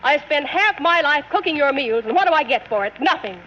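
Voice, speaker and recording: An actress playing a nagging, exasperated, long-suffering wife in a 1940s radio comedy, scolding in a rapid, complaining way. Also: female voice, high voice, lively intonation, pushed and bright. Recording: muffled, noisy vintage radio broadcast.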